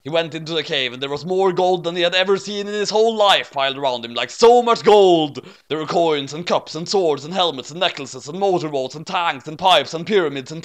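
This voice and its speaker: A man's voice: on the high side, a bit bright, dry recording. A man reading a comic story aloud in an exaggerated, mock-serious dramatic way.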